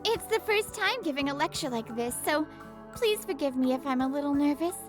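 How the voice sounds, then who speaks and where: A woman voices a young female genius scientist in a visual novel dub. The voice is high and very expressive, poised and polite like a lecturer, with music clearly behind it. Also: fairly quick. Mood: polite, slightly nervous.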